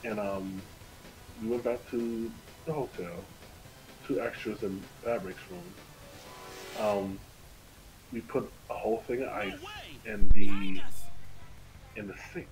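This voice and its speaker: A man livestreaming a game and casually telling stories in an animated, slangy way. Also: lowish voice, slow pace, pauses often, rough recording. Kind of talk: casual chat over gameplay. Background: video game music.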